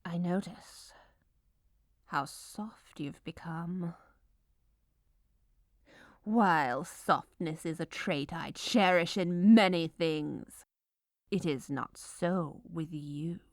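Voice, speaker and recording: A woman's voice: fairly low pitch, drawn-out words, pauses often, no room sound, clean studio sound. A woman performing scripted comedic ASMR narration as a gleefully menacing, melodramatic baker, with a theatrical villainous delivery.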